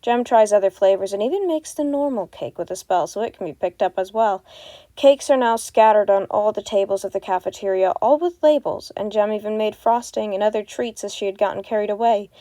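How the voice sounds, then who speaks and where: A woman's voice: mid-range voice, dark tone, dry recording. A woman narrating a fan fiction story as an amateur audio reading. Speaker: podfic narrator.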